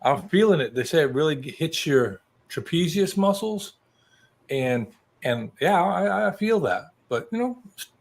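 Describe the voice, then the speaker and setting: A man's voice: a little high, expressive, unhurried, creaky. A man in his early sixties chatting as a guest on a video call. He rambles, with many restarts, and is self-deprecating. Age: 60s. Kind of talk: casual video call chat.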